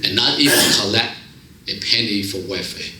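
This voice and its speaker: Man, vietnamese accent, broken english, joking testimony, very bright tone, echoey hall. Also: on the low side, moderate pace, a little hiss or hum. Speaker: guest speaker. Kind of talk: personal testimony speech. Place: church fellowship hall.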